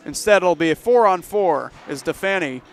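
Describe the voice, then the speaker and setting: High-pitched male hockey play-by-play caller. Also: lively intonation. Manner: brisk calls that lift in pitch on rushes toward the net. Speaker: play-by-play commentator. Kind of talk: live hockey play-by-play commentary.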